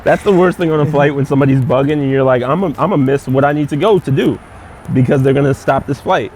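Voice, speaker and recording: A man's voice: mid-range voice, fast, darkish tone, slight background noise. A male comedian hosting a casual street chat, trading crude, slang-heavy jokes. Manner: crude joking banter with slang. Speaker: comedian host. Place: outdoors on a city street.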